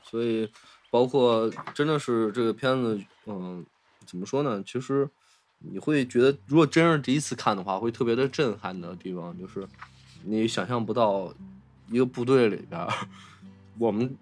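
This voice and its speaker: Guy on a film podcast, mid pitch, chatty n into it, repeats himself, bit of music behind. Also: moderate pace, a little mellow. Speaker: podcast host. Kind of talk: casual film discussion.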